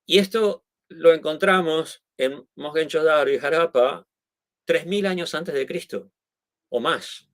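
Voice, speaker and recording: A man's voice: on the high side, a little slow, no echo. Argentine guy explaining stuff, stops and restarts a lot, tacks on little questions, dry close sound. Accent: Argentine Spanish. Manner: hesitant, frequent restarts and tag questions. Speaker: guest. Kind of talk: conversational explanation.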